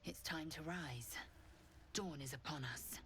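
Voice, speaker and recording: An actress playing a haughty, commanding alien warrior woman in a video game, with an imperious, clipped, hushed delivery. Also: sits low, unhurried, a little hiss or hum.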